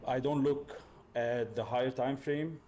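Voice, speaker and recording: A man from Lebanon, speaking haltingly and casually as a learner in a mentoring chat. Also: mid-range voice, steady tone, dark tone, a little hiss or hum. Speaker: learner trader, mentee.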